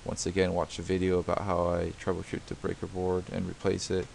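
Gravelly man explaining step by step. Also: sits low, monotone, fairly quick, a little mellow, a little hiss or hum. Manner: explanatory, many fillers and restarts. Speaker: DIY home repair tutor. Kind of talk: unscripted screen-recorded tutorial narration. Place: voice-over on a diagram video.

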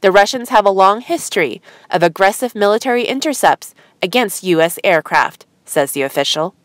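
A woman host reading scripted news headlines in a formal, measured newsreader manner. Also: mid-range voice, lively intonation, no room sound.

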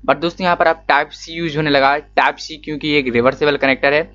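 A man's voice: mid-range voice, fairly bright tone. A young man narrating an electronics tutorial as a voice-over, explaining step by step and often addressing viewers as friends. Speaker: electronics teacher. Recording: dull lo-fi recording.

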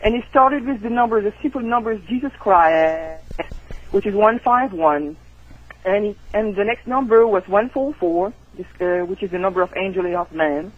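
A woman with a French accent, a native French speaker, comes through a thin, tinny phone line. Her voice is husky, and she talks with earnest excitement as a guest author on a call-in radio show. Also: mid-range voice, normal speed.

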